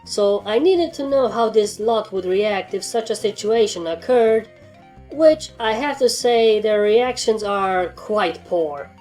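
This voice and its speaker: A woman's voice: medium pitch, animated, slow, darkish tone, faint music behind. Stern commanding pony mare voiced by a woman. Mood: disappointed, explaining. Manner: commanding, matter-of-fact.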